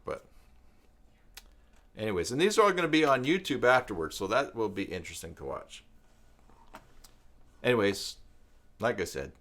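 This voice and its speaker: A man hosting a casual live stream, thinking aloud in a halting, rambling way as he browses. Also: fairly low pitch, gravelly, fairly bright tone. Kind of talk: casual live stream chat, partly reading web pages aloud.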